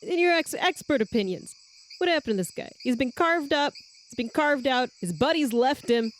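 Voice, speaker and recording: A woman's voice: sits high, animated, normal speed, pitched above the performer's own voice. Woman playing a sardonic archaeologist, noisy insect backdrop. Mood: suspicious, pointed. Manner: sarcastic, pointed. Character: sardonic, suspicious female archaeologist adventurer, bristly and insistent.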